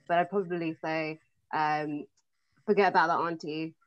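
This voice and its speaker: Low-voiced young woman, muffled call. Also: steady tone, moderate pace, dry recording. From London, England. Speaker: panel participant, data analyst. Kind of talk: casual chat.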